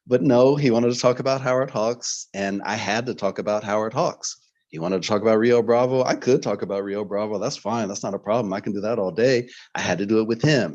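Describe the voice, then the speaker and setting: A man's voice: mid-range voice, a little mellow, highs cut off. A man telling an informal anecdote at an academic talk, emphatic and repeating phrases for effect. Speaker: book series editor and panel speaker.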